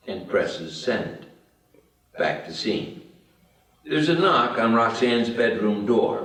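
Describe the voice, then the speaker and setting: A man's voice: fairly low pitch, fairly bright tone. A man giving scripted narration of stage directions at a table read, in an echoey room. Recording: very echoey room, distant microphone.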